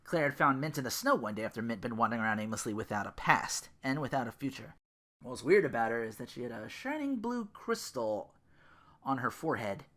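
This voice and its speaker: Podcast host reading fanfic out loud, super animated, bright voice, keeps interrupting themself. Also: mid-range voice, brisk. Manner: theatrical, self-interrupting. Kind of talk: animated reading of fan fiction with asides.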